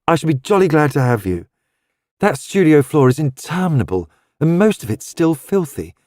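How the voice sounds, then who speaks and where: A man voicing a brisk, sensible young woman. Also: fairly high pitch, sing-song, darkish tone, dry recording, clean studio sound. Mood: friendly. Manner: polite, brisk, frank.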